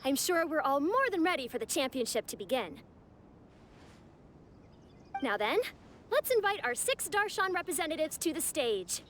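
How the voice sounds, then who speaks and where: A woman's voice: sits high, very animated, normal speed, long pauses, fairly bright tone, slight background noise. A woman playing a confident festival organizer in an anime video game, proclaiming with formal ceremony. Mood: ceremonial, confident.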